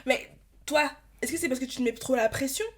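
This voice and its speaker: A woman's voice: sits high, a little fast, a little hiss or hum. A woman in her thirties hosting a podcast, chatting casually in a slangy, direct and teasing way. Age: 30s. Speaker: podcast host. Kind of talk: casual chat.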